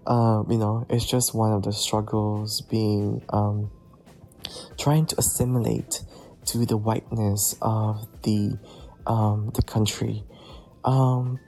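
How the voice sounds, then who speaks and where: A man with a Filipino accent speaks slowly and evenly, his voice mellow and his pitch barely moving. He hesitates often and fills the gaps with "um" as he shares a spoken response. Faint music plays underneath. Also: little pitch movement. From Iloilo City, Philippines. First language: Ilonggo. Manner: hesitant, many pauses and "um" fillers. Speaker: discussion participant. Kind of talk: casual spoken response message.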